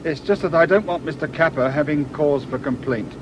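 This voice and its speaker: An actor playing a shrewd British police inspector in a radio drama, with a dry, colloquial, knowing manner. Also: male voice, brisk, close to an everyday voice. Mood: dry, explanatory. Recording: noisy outdoor ambience, vehicle rumble.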